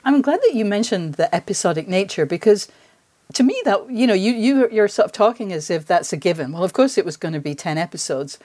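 A woman's voice: mid-range voice, expressive, brisk, dark tone. A middle-aged woman hosting a podcast, putting questions and comments in a conversational way. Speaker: podcast host, interviewer. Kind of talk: conversational interview.

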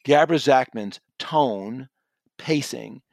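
A man's voice: mid-range voice, slow, vocal fry, a little mellow, dry recording, clean studio sound. A man chatting as a guest reviewer about books in a conversational way. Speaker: book reviewer and contributor. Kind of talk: conversational book review discussion.

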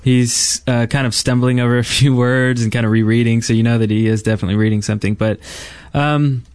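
A man's voice: medium pitch, fairly even intonation, a little fast, a little mellow, smooth, no echo. A man co-hosting a casual gaming podcast, explaining things in detail and piling up clauses as he goes. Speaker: podcast co-host.

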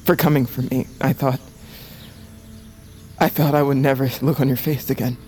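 A man playing a desperate, guilt-ridden bird-folk assassin on the run in a fantasy audio drama, speaking in a halting, intense way. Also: mid-range voice, steady tone, darkish tone, a little hiss or hum, natural voice. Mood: haunted, halting.

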